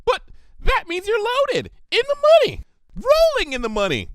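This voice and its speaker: A man voicing a bouncy, excitable, scatterbrained young female pony who rambles and exclaims naively in a cartoon fan fiction reading. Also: extremely high pitch, normal speed, no room sound, studio quality, pitched above the performer's own voice, exaggerated voice. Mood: shocked, excited.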